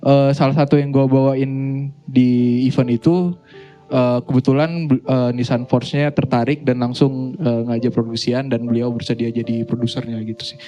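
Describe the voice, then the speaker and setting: Mellow-voiced young man, informal. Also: mid-range voice, level tone, moderate pace, lo-fi sound, faint music behind. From Sumatra, Indonesia. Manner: informal, slangy. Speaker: guest musician and songwriter. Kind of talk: casual interview chat.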